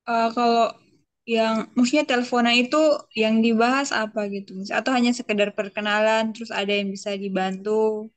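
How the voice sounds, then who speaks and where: A woman's voice: on the high side, fairly even intonation, moderate pace. A young woman with an Indonesian accent, a student speaking casually in class.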